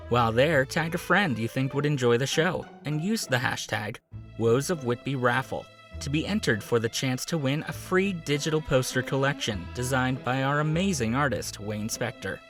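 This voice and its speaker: A male announcer reading a clear, promotional scripted outro for an audio drama podcast. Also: mid-range voice, fairly bright tone.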